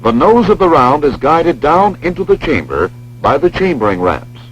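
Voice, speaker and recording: An American man reading scripted instructional narration for a training film in a measured, precise, formal manner. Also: a little high, big pitch swings. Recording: dull lo-fi film soundtrack, humming noise.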